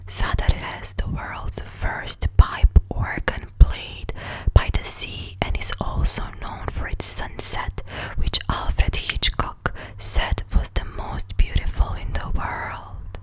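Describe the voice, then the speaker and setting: A young woman with a Croatian accent whispers unhurriedly and very softly into a foam-covered studio mic held close. Her voice is breathy and hushed in an ASMR style, recorded in a small room at home. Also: slow pace, few pauses, poor audio. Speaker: ASMR creator. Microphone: large foam-covered studio mic close up.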